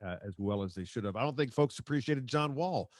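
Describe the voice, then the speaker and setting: A man's voice: mid-range voice, expressive, fairly quick, dry recording, studio quality. An American man, middle-aged, hosting a casual sports podcast chat.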